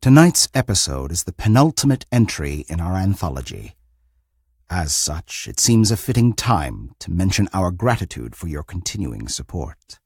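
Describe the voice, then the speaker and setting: A man hosting an audio drama anthology podcast, reading scripted narration in a formal, theatrical manner with deliberate phrasing. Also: deep voice, big pitch swings, a little mellow, husky, no room sound, clean studio sound.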